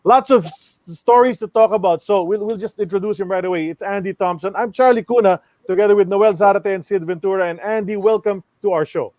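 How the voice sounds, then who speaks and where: A man's voice: high-pitched, fairly quick, few pauses, darkish tone, dry recording. Casual podcast host man, dry sound. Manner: casual, with frequent fillers. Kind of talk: podcast interview hosting.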